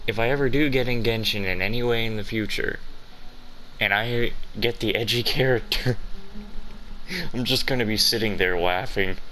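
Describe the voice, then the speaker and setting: A man's voice: lowish voice, normal speed, pushed and bright, a little hiss or hum, low-quality recording. A man giving casual, joking, rambling commentary over a video game playthrough. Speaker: gaming streamer. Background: game music.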